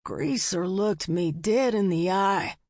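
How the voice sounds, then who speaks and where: A woman's voice: medium pitch, slow, a little mellow, no echo, studio quality, natural voice. A woman voicing a spooked man in an audiobook, telling things in a dramatic, emphatic way. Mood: ominous.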